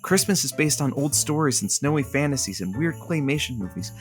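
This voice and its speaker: An actor playing a wry, blunt radio sidekick who announces in a showy way in a scripted audio drama. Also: male voice, medium pitch, expressive, brisk, faint music behind, natural voice.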